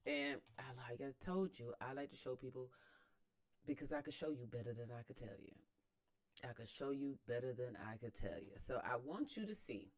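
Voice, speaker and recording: A man's voice: mid-range voice, expressive, normal speed. An American man giving casual, opinionated commentary as host of a read-aloud, emphatic and slangy, repeating phrases for emphasis.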